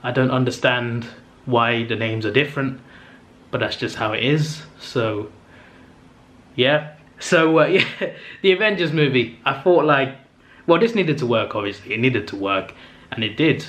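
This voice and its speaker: A young man talks straight to camera in a loose, excitable ramble, stumbling over words and correcting himself as he goes. His voice sits at an ordinary middle pitch and sounds slightly worn, as if he is losing it. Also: unhurried, long pauses. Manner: chatty and enthusiastic, with frequent fillers and self-corrections. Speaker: movie review channel host. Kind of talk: casual ranking monologue to camera.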